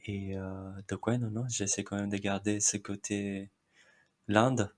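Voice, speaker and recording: Soft-voiced Indian-born man, hesitant. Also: lowish voice, level tone, a little slow. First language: Marathi. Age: 30s. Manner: hesitant, many fillers, frequent short laughs. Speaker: podcast guest. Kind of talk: casual interview chat.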